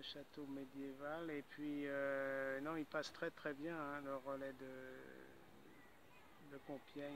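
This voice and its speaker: A man with a bright, forward voice sitting a little high. He chats casually as a radio amateur, hesitating and adding little tags as he goes. There is faint noise behind him. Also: rough recording.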